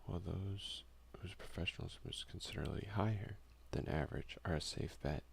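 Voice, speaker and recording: A young man doing a soft-spoken ASMR let's play, often slipping into whispers as he comments and reads out game text close to the microphone. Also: low-pitched, fairly quick, gravelly, breathy edge. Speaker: gaming video creator. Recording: faint noise behind a home recording.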